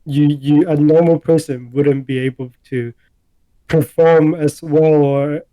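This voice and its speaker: A man co-hosting a casual podcast chat, talking in halting bursts, repeating words and filling gaps with um and uh. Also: fairly high pitch, steady tone, mellow, no echo, low-quality recording. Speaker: podcast co-host.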